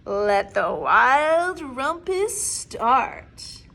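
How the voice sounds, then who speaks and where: A woman's voice: high voice, very animated, very slow, creaky, full character voice. A woman voicing a bold little boy who gives commanding calls in a children's storybook. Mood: commanding, excited. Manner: commanding, loud calls with stretched words.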